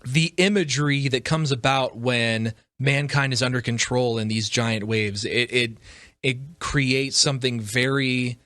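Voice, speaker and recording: A man's voice: mid-range voice, a little slow, fairly bright tone, dry recording, studio quality. A man, perhaps middle-aged, giving a casual, opinionated podcast monologue as host, stressing his points emphatically. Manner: emphatic, repeats phrases for stress.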